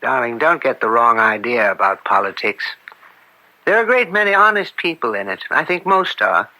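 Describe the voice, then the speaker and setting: An actor playing an upright, principled judge and father in old-time radio drama, measured and earnest. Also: medium pitch, expressive, sharp tone, rough recording.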